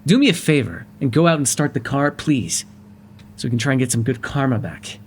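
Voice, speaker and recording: A man's voice: mid-range voice, expressive, brisk, slight background noise, lightly acted. A man playing a wry, courteous but exasperated mafia boss in an ASMR roleplay, sardonic and conversational in manner.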